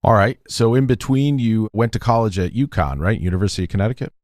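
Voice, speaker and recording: A man's voice: on the low side, fast talker, darkish tone, no room sound, studio quality. A man hosting a podcast, asking curious questions and making light joking asides. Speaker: podcast host. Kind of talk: casual interview questions.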